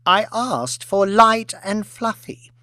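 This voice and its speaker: Male reader as aristocratic woman, shrill, petulant, imperious, melodramatic, dramatic pitch swings, audiobook character. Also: animated, slow pace, pushed and bright, dry recording, full character voice. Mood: indignant, demanding. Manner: petulant, sobbing self-pity turning to furious outrage. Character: imperious, shrill, histrionic aristocratic lady throwing tantrums.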